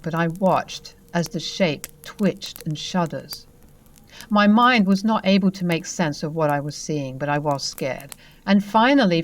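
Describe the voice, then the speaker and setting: A woman host reading a first-person paranormal story aloud and then chatting about it in a calm, measured way. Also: medium pitch. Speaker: host and narrator.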